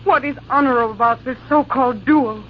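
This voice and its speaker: A woman's voice: sits high, brisk, close to an everyday voice. An actress playing a devoted, desperate young woman, imploring and melodramatic in 1940s radio style. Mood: pleading, desperate. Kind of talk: 1940s radio drama acting. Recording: muffled, noisy old radio broadcast.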